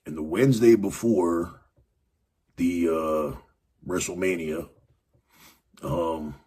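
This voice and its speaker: Very deep, husky-voiced man rambling. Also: slow pace, mellow. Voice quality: raspy. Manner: rambling, many fillers and restarts. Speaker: podcast host. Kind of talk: casual wrestling commentary monologue.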